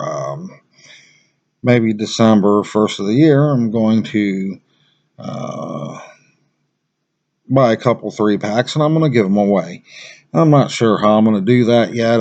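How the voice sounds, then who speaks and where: A man giving a casual product review in a plain-spoken, halting, conversational way. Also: fairly low pitch, darkish tone, muffled recording.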